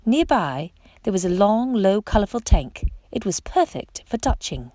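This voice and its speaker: Animated woman reading a children's storybook. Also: mid-range voice, unhurried, dry recording. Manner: expressive, dramatic emphasis on exclamations and questions. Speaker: storytime reader. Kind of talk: read-aloud children's picture book narration.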